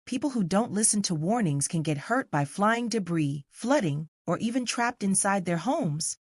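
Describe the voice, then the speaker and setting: A woman voicing a calm, serious and reassuring mother who explains things instructively in a children's storybook. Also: mid-range voice, moderate pace, husky, no echo, studio quality.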